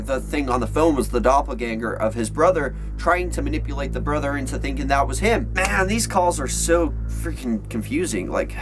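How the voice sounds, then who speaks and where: A man hosting a video in an animated, informal, slangy way, chatting upbeat to his viewers. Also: medium pitch. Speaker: video host and storyteller. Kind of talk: upbeat vlog chat to viewers.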